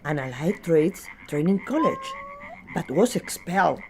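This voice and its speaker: A woman's voice: lowish voice, very animated, unhurried, noisy background. A woman playing an exasperated, lovelorn village woman in a comic radio play. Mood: matter-of-fact.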